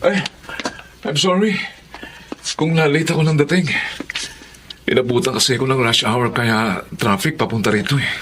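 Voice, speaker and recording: A man's voice: mid-range voice, normal speed, pauses often, noisy background, lightly acted. An actor playing a meek young writer, a son who answers his family hesitantly and apologetically. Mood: defensive. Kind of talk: audio drama acting.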